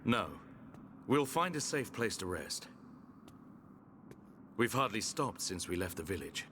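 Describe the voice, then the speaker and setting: An actor playing a steady, protective, seasoned warrior in a video game. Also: male voice, fairly low pitch, measured pace, slight background noise, heavily acted. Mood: calm, firm. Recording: loud game music behind voice.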